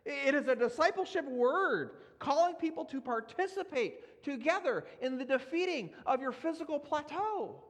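A man's voice: very high voice, expressive, normal speed, few pauses. A man preaching a sermon, earnest and emphatic as he works through scripture readings. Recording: long reverb of a large hall.

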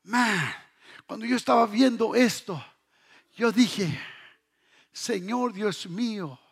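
Male preacher, very high raspy voice, slow emphatic delivery with repeated phrases and rhetorical questions. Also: expressive. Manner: swings between hushed lines and shouted exhortation. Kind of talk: fervent sermon to a congregation.

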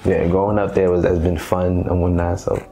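A man's voice: deep voice, level tone, fairly quick, warm tone, faint music behind. A man from Haiti, a native Creole speaker, chatting casually as an interview guest in an easygoing, slangy way, repeating phrases for emphasis. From Haiti, later Boston.

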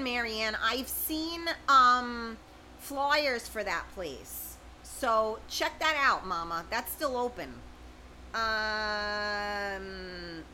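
A middle-aged woman hosts a home livestream, reading out viewer comments and answering each one by name. Her voice sits a little high and has a bright, forceful edge. A faint steady background hum runs under her talk. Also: poor audio. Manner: chatty, informal, talks straight to viewers by name. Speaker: livestream host.

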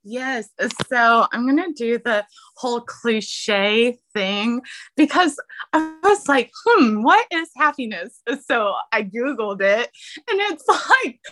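Young woman guest, light texan accent, slow and thoughtful, bright voice. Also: on the high side, lively intonation. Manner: slow, earnest, reflective, with laughs. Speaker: podcast guest. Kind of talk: casual interview chat.

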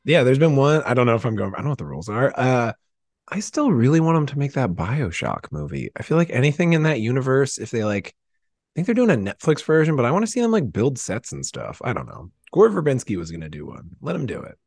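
A man speaking as a podcast guest in casual, comedic chat, rambling and swearing in an animated way. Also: medium pitch, fast, creaky, no echo, studio quality.

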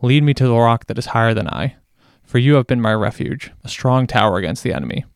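A man reads scripture solemnly in a crisp, resonant voice of medium pitch. There is a creaky, gravelly edge to it, and the recording is dry and close. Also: quick pace, no echo. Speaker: scripture reader. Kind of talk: solemn psalm reading.

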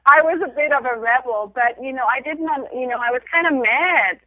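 A woman's voice: high-pitched, brisk, heavy background noise. A middle-aged woman from Denmark, talking reflectively in a casual interview, laughing often and restarting her sentences. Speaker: interview guest, photographer of women. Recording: tinny phone line.

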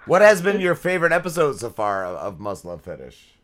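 A man's voice: mid-range voice, wildly swooping pitch, fairly quick. A man hosting a podcast, cracking teasing jokes in casual chat. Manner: joking, teasing asides. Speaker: podcast host.

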